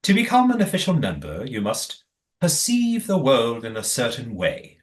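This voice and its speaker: Formal, secretive commune leader, animated intonation. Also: male voice, mid-range voice. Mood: cryptic, assured. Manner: formal, measured, evasive. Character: calm, secretive male leader of a night-dwelling commune. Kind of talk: audio play acting.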